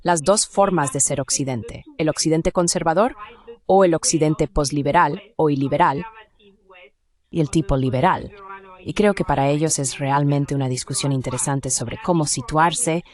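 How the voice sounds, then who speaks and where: A woman with a low-pitched voice speaks as an academic guest in an interview. She explains her points analytically and at length, in a discursive manner. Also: moderate pace, a little mellow. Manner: analytical, discursive, with rhetorical check questions.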